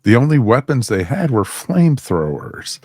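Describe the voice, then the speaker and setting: A man's voice: medium pitch, very animated, normal speed, a little mellow, dry recording, studio quality. A man talking casually as a panelist in a podcast review discussion. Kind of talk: casual chat reviewing audio dramas.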